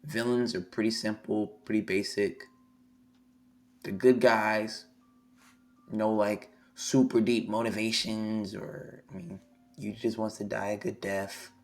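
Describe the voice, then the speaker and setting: A hoarse young man on a live stream, reading a manga chapter aloud and reacting excitably, swearing freely. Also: lowish voice, unhurried. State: hoarse, losing his voice. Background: faint music.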